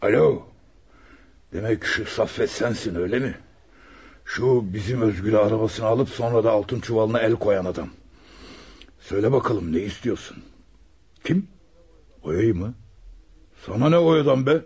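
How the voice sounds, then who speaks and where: A man's voice: lowish voice, normal speed, pauses often, darkish tone, husky. An actor playing a bullying, hot-tempered male gang boss in a radio play, taunting and giving orders. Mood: cold, commanding. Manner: taunting, commanding. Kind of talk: Turkish radio theater acting. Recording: dull lo-fi radio recording.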